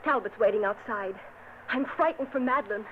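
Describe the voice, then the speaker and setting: A woman's voice: fairly high pitch, a little fast, lightly acted. An actress playing a fearful young noblewoman with urgent, anxious delivery, in vintage radio drama style. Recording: muffled old radio recording with loud hiss.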